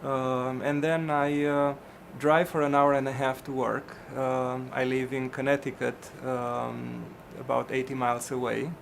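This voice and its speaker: A middle-aged physician from Romania, answering interview questions in a hesitant way, with many ums and restarts. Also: male voice, mid-range voice, vocal fry, slight background noise.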